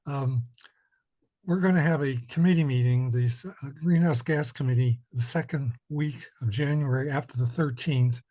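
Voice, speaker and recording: A middle-aged man giving a committee report in a virtual meeting, soft-spoken and halting, with many fillers. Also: mid-range voice.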